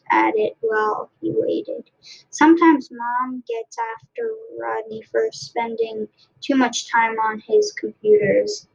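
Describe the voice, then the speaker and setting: A woman narrates a storybook in a fairly high voice with a lot of creak and a slightly husky edge. Her reading is halting, with restarted phrases. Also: dull audio. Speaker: story reader. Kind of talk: read-aloud storybook narration.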